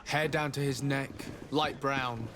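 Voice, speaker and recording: A man's voice: medium pitch, fairly even intonation, slow pace, heavy background noise, lightly acted. A man playing a courteous, inquisitive young nobleman envoy in video game dialogue, polite, formal and composed. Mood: courteous, concerned.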